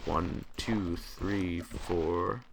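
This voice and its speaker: Tired, raspy young man gaming. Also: low-pitched, drawn-out words. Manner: rambling, talks to himself while playing. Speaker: gaming channel host. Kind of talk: casual gameplay commentary. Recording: clear typing noise behind. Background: keyboard typing and clicks.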